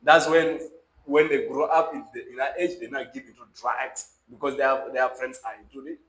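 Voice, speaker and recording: A man's voice: mid-range voice, fairly even intonation, moderate pace, a bit bright. A young man from South Sudan with a South Sudanese accent gives his opinion conversationally, emphatically checking that the listener follows. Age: young adult. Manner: emphatic, repeatedly checks listener understands with questions. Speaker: podcast guest. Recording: roomy echo with faint background noise.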